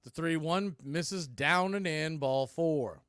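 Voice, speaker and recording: An American man doing live baseball play-by-play in clipped, punchy calls with pauses, mixed with casual fan chat. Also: on the high side, a bit bright, smooth, no echo, clean studio sound.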